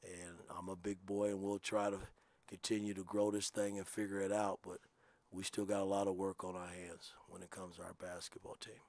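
A man in his sixties, a basketball coach answering postgame questions in a measured, plain-spoken, self-critical way at a table microphone in a press room. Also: sits low, flat delivery, vocal fry, a little mellow, no room sound. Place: press room with sponsor backdrop.

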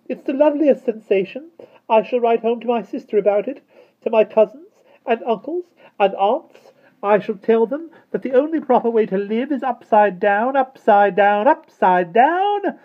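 A man voicing a stout, excitable, prim storybook housekeeper woman in a dramatic, exclaiming manner. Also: very high-pitched, moderate pace, phone-quality sound, pitched above the performer's own voice. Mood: giddy, joyful.

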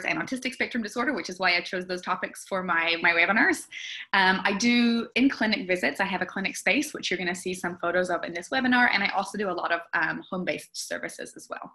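A woman's voice: lowish voice, pushed and bright. A young New woman, a speech therapist, giving a chatty webinar presentation over a video call. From New Zealand. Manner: chatty, explanatory, with frequent fillers. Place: indoor room with plants behind. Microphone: video call webcam.

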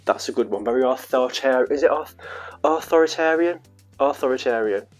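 A man's voice: medium pitch, moderate pace. Young northern english guy, vlogger, playful chatty rambling, lapel mic, faint music behind. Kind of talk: casual chat to camera. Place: small room at home, later outdoors in a park.

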